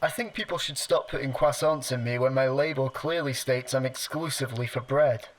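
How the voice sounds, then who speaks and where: An actor playing a fussy, put-upon computer mind stuck in a toaster in a sci-fi comedy radio play, dry, pedantic and exasperated. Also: male voice, mid-range voice, brisk, a little hiss or hum, natural voice, rough recording. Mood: prim, put-upon.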